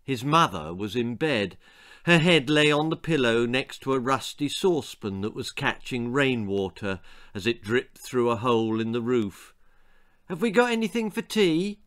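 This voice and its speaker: A man in his sixties reading a children's story aloud with dramatic, expressive narration, savouring the words. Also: mid-range voice, moderate pace. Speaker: author reading own stories. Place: small book-lined room indoors.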